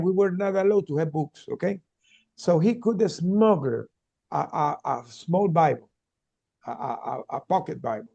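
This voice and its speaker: Man, gravelly, highish, earnest speaker, lots of restarts. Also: mellow, lo-fi sound. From Venezuela. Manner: earnest, repeats words and restarts often. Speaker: keynote speaker. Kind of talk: storytelling talk on a video call.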